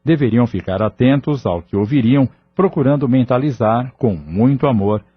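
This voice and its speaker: A man's voice: medium pitch, lively intonation, normal speed, slightly rough. A man narrating a scripted audio novel as its storyteller. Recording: muffled lo-fi audio with music.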